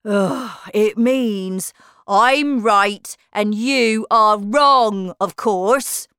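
Woman playing Humpty Dumpty, a loud, pompous talking egg. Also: mid-range voice, expressive, smooth, no echo, clean studio sound. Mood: boastful, pompous. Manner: indignant, slow, emphatic, self-important. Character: pompous, touchy, boastful male talking egg.

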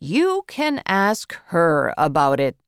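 Woman reading a hurried ticket-office clerk. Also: fairly low pitch, wildly swooping pitch, clean tone, no echo, clean studio sound, heavily acted. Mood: brisk. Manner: brisk, offhand.

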